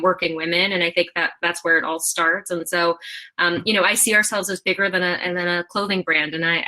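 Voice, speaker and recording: A woman voices a thirties startup founder being interviewed. She talks in a bright, tense voice that sits a little low, and she is animated, with frequent fillers and self-corrections. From half Japanese. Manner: animated, many fillers and restarts. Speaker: founder and CEO of a clothing brand. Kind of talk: interview answers.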